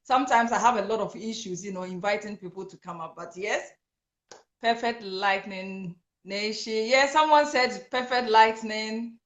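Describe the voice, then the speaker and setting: A woman hosting a live-streamed talk show, excitable and quick to laugh, with many fillers. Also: mid-range voice. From Ghana. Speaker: host of an online talk show. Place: home in Brisbane. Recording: echoey room.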